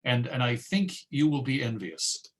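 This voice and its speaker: A man's voice: mid-range voice, big pitch swings, moderate pace, slight background noise. Chatty American man giving a talk. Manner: chatty, wry asides. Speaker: presenter. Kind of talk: conversational history lecture with clips.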